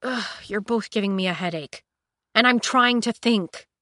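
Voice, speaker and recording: A woman's voice: mid-range voice, moderate pace, sharp tone, dry recording, clean studio sound, close to an everyday voice. A woman voicing a guarded young heroine who speaks tersely and wryly. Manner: wry, terse, muttering.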